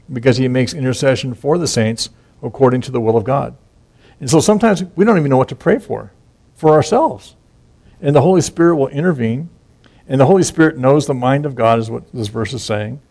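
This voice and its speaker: A man's voice: medium pitch, vocal fry, a little mellow. A man teaching from scripture in an informal, conversational way, reading verses aloud and explaining them with frequent restarts and asides. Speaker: Bible teacher.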